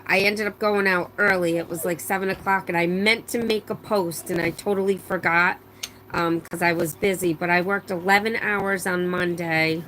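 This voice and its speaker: A woman's voice: lowish voice, bright, forceful tone, poor audio. Middle-aged woman, live stream host, rambling casual chat, slightly strained voice, faint background hiss. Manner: chatty, rambling, many fillers and restarts. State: voice slightly strained after laryngitis. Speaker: livestream host. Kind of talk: casual live chat, reading out viewer comments.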